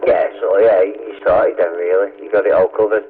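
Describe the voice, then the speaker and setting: A man's voice: low-pitched, steady tone, moderate pace, narrow, tinny audio. A man with a Northern English accent chatting casually over CB radio, agreeable and inclined to explain things. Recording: noisy, band-limited CB radio transmission.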